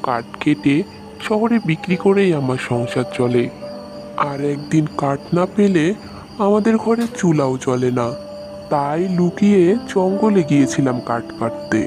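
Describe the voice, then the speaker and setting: A man voicing a humble, honest village woodcutter in a cartoon moral story, speaking earnestly and respectfully. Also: on the high side. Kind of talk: animated moral story dialogue. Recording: voice over light background music.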